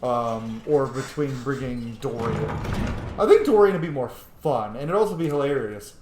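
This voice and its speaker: A man's voice: medium pitch, animated, moderate pace. A man giving casual, sweary gameplay commentary, thinking aloud and playfully bursting into silly exclamations. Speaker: streamer playing a role-playing video game. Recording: roomy echo, faint background noise.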